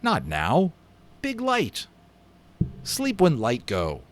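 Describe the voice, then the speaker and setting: Man putting on a gruff miniature storybook man, proud, wary, terse broken sentences, animated pitch. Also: extremely slow, full character voice. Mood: gruff insistent. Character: tiny proud suspicious Native man, a living toy figure.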